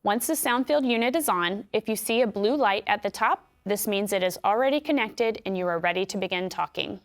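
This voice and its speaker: A woman with an American accent giving scripted instructions in a clear, measured way. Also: mid-range voice. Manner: clear, measured explanatory delivery. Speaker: instructional video narrator.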